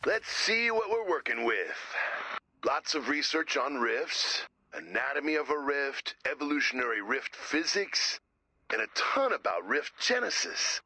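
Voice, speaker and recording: A man playing a laid-back but excitable tech sidekick in a video game, husky, casual and inquisitive. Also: a little high, unhurried, dry recording, full character voice, poor audio. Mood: curious, casual. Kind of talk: scripted video game dialogue.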